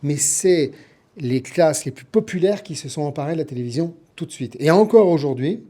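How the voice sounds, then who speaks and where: Mellow-voiced man explaining his views. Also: fairly high pitch, expressive, normal speed. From born in Milan, Italy. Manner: explanatory, builds points through lists and repetition. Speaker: interview guest, TV producer and writer. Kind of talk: conversational interview answers.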